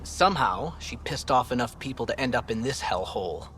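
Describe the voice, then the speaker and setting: An actor playing an earnest, troubled space-station security officer in a video game. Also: male voice, mid-range voice, lively intonation, fairly quick, fairly bright tone, slight background noise, lightly acted. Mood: earnest, bitter.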